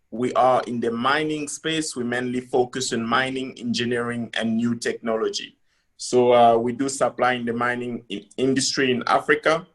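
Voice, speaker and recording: A middle-aged man from the Democratic Republic of Congo giving a business presentation on a video call, speaking in a halting, deliberate way. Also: medium pitch, fairly bright tone. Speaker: conference speaker, company founder.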